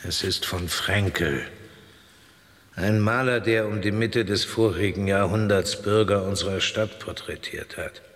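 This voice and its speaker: A stern, solemn cathedral guard in a radio drama. His low voice gives terse answers that ring through long stone reverb, with faint noise. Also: a little slow, a bit bright. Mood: solemn, matter-of-fact. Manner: terse, solemn, matter-of-fact. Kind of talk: German radio drama acting.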